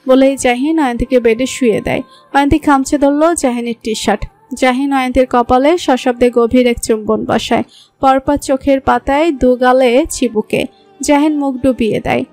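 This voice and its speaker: A woman narrating a romantic story in a smooth, even storytelling voice. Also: high-pitched. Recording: soft background music.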